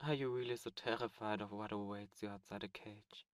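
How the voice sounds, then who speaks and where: A man's voice: on the low side, fairly even intonation, a little fast, smooth, dry recording, clean studio sound, close to an everyday voice. A man voicing a suave, cold male demon prince in an ASMR roleplay, speaking in a silky, condescending and menacing way. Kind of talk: ASMR roleplay audio drama.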